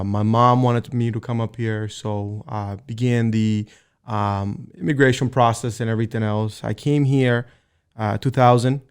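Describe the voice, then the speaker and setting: A man in his thirties from the Dominican Republic, a guest telling stories in a relaxed, easygoing way. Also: mid-range voice, clean tone. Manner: relaxed, easygoing storyteller with many fillers. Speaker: guest, firefighter. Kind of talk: casual interview chat.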